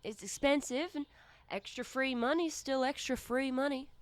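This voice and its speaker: High-voiced, dry-witted teenage girl, played by a woman. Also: moderate pace, no echo, close to an everyday voice. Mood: wry, offhand. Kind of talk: naturalistic radio play acting.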